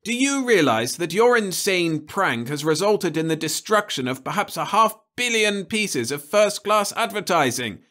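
A man's voice: sits high, very animated, moderate pace, few pauses, sharp tone, voice pushed up, full character voice. A man voicing a blustering older male official who thunders indignant questions.

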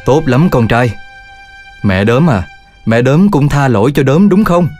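A man voicing a patient father dog in a cartoon, calm and gently lecturing his son. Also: mid-range voice, unhurried. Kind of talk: cartoon voice acting. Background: background music.

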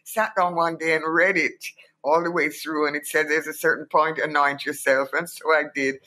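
A Jamaican woman in her eighties, a guest telling her life story in an animated, emphatic way and repeating points for weight. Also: low-pitched, moderate pace. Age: 80s. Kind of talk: reflective interview storytelling.

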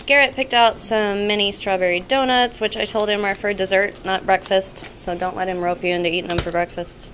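A woman's voice: medium pitch, fairly even intonation, fairly quick, a bit bright, a little hiss or hum. A woman in her thirties hosting a vlog, talking casually and conversationally as she lists things in a home kitchen. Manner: informal, conversational, listing items. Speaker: vlog host. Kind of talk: casual chatty grocery haul narration.

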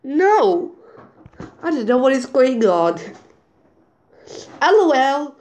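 A woman reading group chat messages aloud over a screen recording, in a slow, halting way with muttered asides, on a phone mic. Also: high-pitched, very animated, darkish tone, lo-fi sound. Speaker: channel owner.

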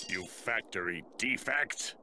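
A man's voice: lowish voice, lively intonation, slow pace, slight background noise, exaggerated voice. A man playing a smug, menacing monster villain in an anime video game, taunting with condescension. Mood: smug, contemptuous. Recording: loud music behind.

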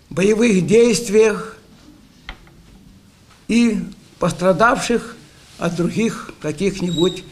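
An elderly man in his seventies giving an emphatic congratulatory speech to comrades. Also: sits high. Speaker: veterans' organisation speaker. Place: meeting hall beside a lectern.

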